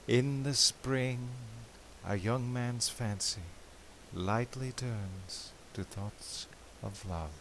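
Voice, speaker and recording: Slow-paced man reciting poetry dramatically. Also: medium pitch, a little hiss or hum. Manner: measured, theatrical, expressive delivery with drawn-out phrases. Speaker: poetry reader.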